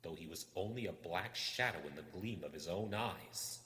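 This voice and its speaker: A man's voice: sits low, animated, normal speed, bright, forceful tone. A man reading a story aloud as dramatic, suspenseful audiobook narration with a theatrical delivery. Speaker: audiobook reader. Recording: echoey, long reverb.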